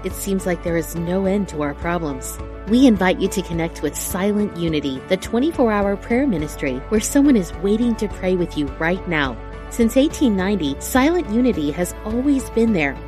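A woman narrates a scripted radio spot in a medium-pitched voice. Music plays clearly behind her. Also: expressive, moderate pace. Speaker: announcer.

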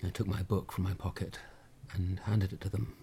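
An actor as a gravelly, weary British husband. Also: male voice, sits low, level tone, normal speed, mellow, slight background noise. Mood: bleak, grieving. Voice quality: husky, gravelly. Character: weary, exasperated, grieving husband telling his tragic story. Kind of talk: dramatic first-person monologue.

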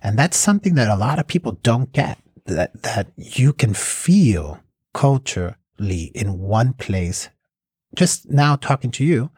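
A man's voice: mid-range voice, very animated, a little slow, a little mellow, slightly rough, no echo, clean studio sound. A middle-aged man from the United States, thoughtfully reflecting in an interview. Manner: thoughtful, reflective, with small restarts. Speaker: cross-cultural mediator. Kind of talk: interview answers.

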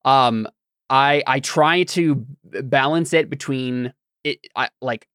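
A man chats casually as a podcast host in a close, dry recording. His voice sits a little on the higher side, and he talks haltingly, working out his thoughts as he goes. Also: fairly bright tone, no echo. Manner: hesitant, halting, thinking aloud. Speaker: podcast host and game master.

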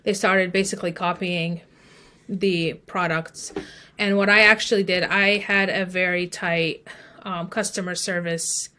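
A woman's voice: fairly low pitch, steady tone, unhurried, sharp tone, a little hiss or hum. A woman speaking as an expert guest in an interview, telling her own story in an informal, explanatory way peppered with filler phrases. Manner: informal, explanatory, frequent "like" and "you know". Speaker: podcast guest, email marketing expert.

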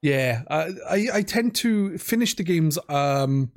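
A man with a voice a little higher than most hosts a casual podcast on video games. He talks in an informal, chatty way and is quick to put himself down. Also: lively intonation. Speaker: podcast host.

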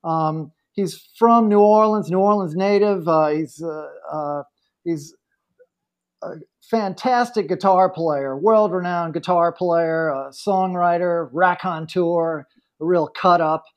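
A man's voice: high voice, slow pace. A middle-aged man chatting casually as co-host of a podcast, on a somewhat lo-fi recording.

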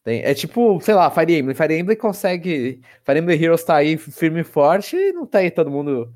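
A man's voice: fairly high pitch, big pitch swings, moderate pace, darkish tone. A man, co-hosting a casual podcast chat about video games, rambling with many restarts. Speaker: podcast co-host.

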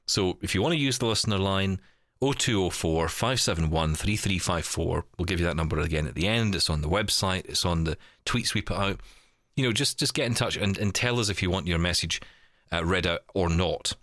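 A man's voice: deep voice, fairly quick, fairly bright tone, dry recording, clean studio sound. A man hosting a podcast in casual chat, rambling and repeating words as he goes, with a teasing, jokey streak. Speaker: podcast host.

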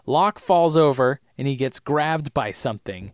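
A man's voice: medium pitch, expressive, a little slow, no room sound, clean studio sound. A man co-hosting a podcast, recapping scenes in a casual, chatty way, laughing often and saying his voice is going. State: losing his voice. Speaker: podcast co-host.

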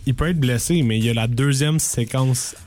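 A man's voice: medium pitch, moderate pace, darkish tone, soft background music. A man with a Quebec French accent on a sports panel, eager and enthusiastic in casual banter.

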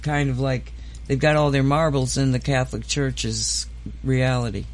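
A man's voice: mid-range voice, little pitch movement, moderate pace. A man hosting a casual podcast chat, rambling and hesitant, full of fillers and restarts. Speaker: host.

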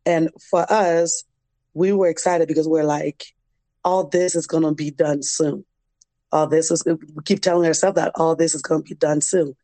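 A woman's voice: low-pitched, fairly even intonation, moderate pace, dark tone, no echo. A young adult woman, telling personal stories in a reflective, conversational interview style. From Liberian, grew up in a refugee camp in West Africa. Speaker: interview guest, dance program founder.

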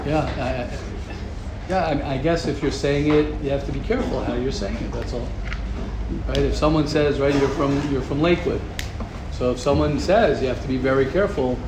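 A man teaching a Torah class, taking questions and acting out quoted gossip in a lively, repetitive way. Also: medium pitch, fairly quick. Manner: animated, acts out quoted gossip, repeats words. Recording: echoey room, noisy ambience.

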